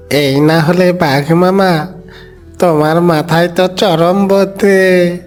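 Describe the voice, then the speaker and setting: A man voicing an eager, impatient, flattering fox sidekick with a wheedling, drawn-out delivery in a cartoon. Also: high voice, soft background music.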